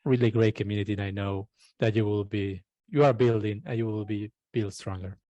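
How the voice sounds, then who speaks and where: A man's voice: on the low side, steady tone, brisk, dark tone, no echo, dull audio. A man with an Argentine accent, a native Spanish speaker, giving a congratulatory short speech as a guest. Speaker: community organiser guest.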